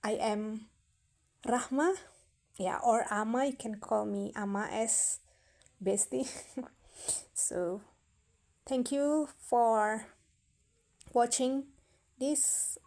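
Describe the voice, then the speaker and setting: Very slow-talking Indonesian woman. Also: a little high, darkish tone. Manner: halting, searching for words, many fillers and restarts. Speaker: vlogger. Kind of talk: casual storytelling to camera.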